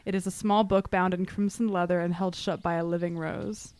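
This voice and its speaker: A woman's voice: lowish voice, steady tone, fairly quick, darkish tone, clean tone. A young woman chatting casually as a player in a tabletop roleplaying game. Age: young adult. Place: small studio room, group around a table. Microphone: tabletop microphone.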